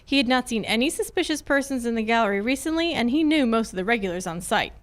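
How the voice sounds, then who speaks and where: A woman's voice: a little high, animated, close to an everyday voice. A woman acting a sassy, wry female private detective in an old-time radio detective drama. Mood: dry narration. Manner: wry, brisk, teasing. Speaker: amateur radio club performer.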